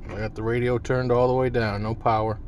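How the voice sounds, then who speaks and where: A man's voice: medium pitch, little pitch movement, fairly quick. A man giving a casual hands-on demonstration in a folksy, plain-spoken way, recorded on a handheld device inside a vehicle. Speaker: vlogger demonstrating CB radio gear. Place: inside a parked vehicle cab. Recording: handheld recording with car cabin noise.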